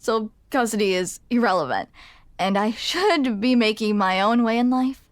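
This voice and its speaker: Casting: actress as young female barista, grumpy yet shyly smitten, higher-pitched, hesitant, self-deprecating, close dry ASMR roleplay. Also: no echo. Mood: bitter, rueful. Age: young adult. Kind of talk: ASMR roleplay, intimate scripted monologue to the listener.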